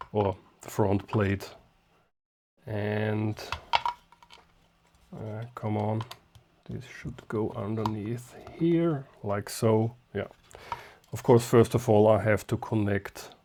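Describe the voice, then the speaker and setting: Slow-paced man talking through a repair. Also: on the low side, dark tone, slight background noise. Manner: muttering to himself in short, halting phrases while working. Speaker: hobbyist host of radio repair videos. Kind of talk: hands-on repair demonstration, unscripted.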